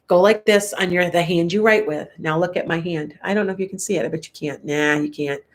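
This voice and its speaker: A woman's voice: on the low side, fairly quick. An older woman with a Chicago accent, congested and coughing a little, chatting and rambling through a live tarot reading for viewers with frequent laughs. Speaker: tarot reader.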